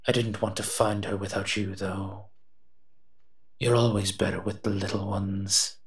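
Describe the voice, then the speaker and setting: A man's voice: on the low side, little pitch movement, unhurried. A man voicing a sardonic, protective and menacing monster who teases and mutters asides in a roleplay audio drama. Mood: teasing, amused. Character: eight-foot male smoke monster, sardonic, menacing, protective.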